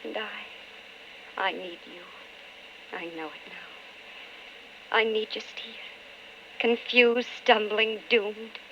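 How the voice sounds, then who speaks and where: An actress playing a candidate's anxious, devoted wife in an old-time radio drama, earnest and melodramatic, with brooding narration. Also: female voice, medium pitch, takes it slowly, long pauses, lightly acted. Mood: yearning, intense. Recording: noisy, muffled vintage radio recording.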